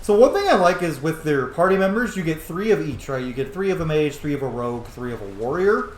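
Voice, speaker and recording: A man's voice: on the high side, animated, fast. A man doing casual, chatty, informal gaming commentary as he plays. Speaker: gaming video host. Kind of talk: casual roleplay playthrough commentary. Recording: roomy sound with faint noise.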